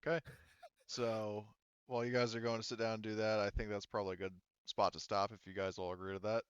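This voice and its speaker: A man's voice: lowish voice, little pitch movement, fast, smooth, no room sound, muffled recording. A man acting as game master, casually moderating a tabletop game and hesitantly checking in with his players.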